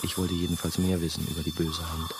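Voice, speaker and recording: An actor playing a brooding, haunted former novice, confiding his story in measured, terse radio drama narration. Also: low-pitched, little pitch movement, very noisy. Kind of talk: first-person radio drama monologue.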